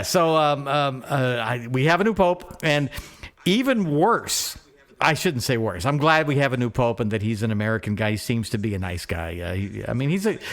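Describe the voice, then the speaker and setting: A man's voice: medium pitch, fairly bright tone. A middle-aged man co-hosting a podcast in casual comic chat, rambling in a wry, irreverent way. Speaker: podcast co-host.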